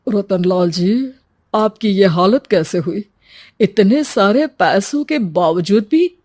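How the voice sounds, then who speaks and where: A woman voicing a concerned middle-aged uncle in a cartoon story, questioning slowly and earnestly. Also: mid-range voice, lively intonation, warm tone.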